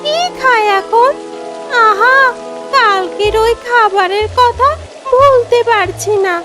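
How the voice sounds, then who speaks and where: A woman voicing a greedy, scheming, hungry female ghost-witch who muses to herself in drawn-out, self-satisfied phrases in a cartoon. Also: extremely high pitch, over music. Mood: hungry, whining. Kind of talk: cartoon fairy-tale voice acting.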